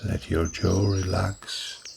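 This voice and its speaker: Deep, slow, gravelly male meditation guide. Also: background noise. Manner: slow, soft, calm guiding delivery with long pauses. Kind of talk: guided yoga nidra body scan. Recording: birdsong clearly behind the voice. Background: recorded birdsong from woods.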